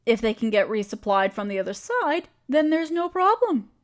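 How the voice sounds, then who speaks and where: An earnest female necromancer with a grand plan, acted by a woman for a roleplay audio. Her voice sits a little high and moves with very animated intonation. She talks straight to the listener, conversational and explanatory, with a caring, reassuring manner. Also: moderate pace. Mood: earnest, eager.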